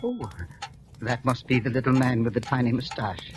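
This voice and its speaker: Here is an actor voicing a frail, lonely old gentleman in a vintage radio play, polite and a little hesitant, sometimes stumbling over his words. He has a middle-pitched voice. A steady hiss runs under him from the old broadcast recording. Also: moderate pace, natural voice. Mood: mild. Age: older. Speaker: elderly insurance policyholder. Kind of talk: 1940s radio drama acting.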